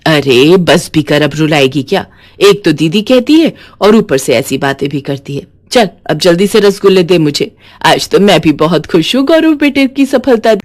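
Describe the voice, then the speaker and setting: A woman's voice: on the low side, expressive, normal speed, close to an everyday voice. A woman voicing an affectionate elder-sister neighbour who scolds playfully, in a storybook tale. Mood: touched, teasing. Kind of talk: storybook character voice.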